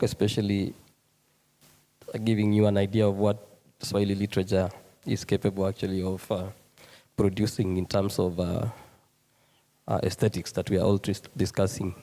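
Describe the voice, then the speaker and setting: A man giving impromptu conference thanks, halting, with many hesitations. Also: lowish voice, dark tone. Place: conference hall.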